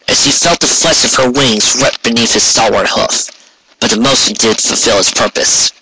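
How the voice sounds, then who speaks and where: A man narrates a written fantasy story in a mid-range voice. The recording has clear background noise and small clicks, like a home-made audiobook. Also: mid-range voice, pushed and bright, rough recording. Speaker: fan fiction audiobook reader.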